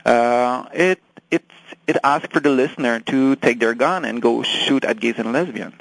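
A man with a French accent speaks in a deeply gravelly, fry-heavy voice. He is a native French speaker answering interview questions, pausing and restarting as he searches for English words. The recording is dry and close. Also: no echo, rough recording. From France. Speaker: guest.

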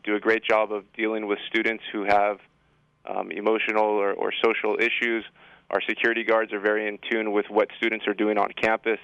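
A man's voice: on the low side, a bit bright, smooth, dry recording, muffled recording. A man speaking as a school administrator guest in an interview, explaining things in an assured way. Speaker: high school assistant principal guest. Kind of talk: interview answers.

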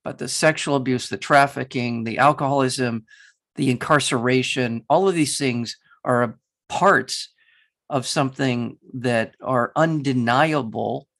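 A man hosting an interview, speaking passionately and haltingly with many restarts. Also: mid-range voice, creaky, a bit bright. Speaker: interview host. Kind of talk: interview conversation.

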